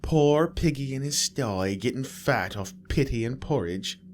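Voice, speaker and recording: A man's voice: medium pitch, lively intonation, unhurried, pitched above the performer's own voice, lightly acted. A man voicing a cruel, bitter woman nurse who snaps and sneers with contempt. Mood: sneering. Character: cruel, bitter middle-aged female nurse.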